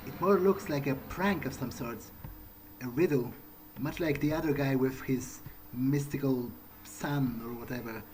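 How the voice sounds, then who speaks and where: Man as pragmatic new vampire in a game, mid-pitched, unhurried and hesitant, faint game ambience behind. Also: darkish tone, slight background noise, close to an everyday voice, poor audio. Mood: curious musing. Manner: hesitant, halting musing. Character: newly turned male vampire, wary, pragmatic, musing. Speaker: gamer role-playing his character. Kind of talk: in-character gameplay thinking aloud.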